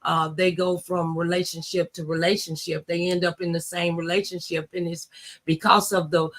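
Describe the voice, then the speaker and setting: A woman's voice: on the low side, little pitch movement, moderate pace, a bit bright. A middle-aged woman hosting a talk show in a casual, conversational style.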